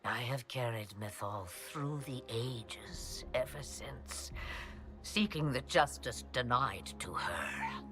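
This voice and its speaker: A woman playing an ancient, knowing witch in a video game, deliberate and theatrical, with wry condescension. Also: very deep voice, expressive, over music, heavily acted. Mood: hushed, grave. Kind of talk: video game cutscene acting.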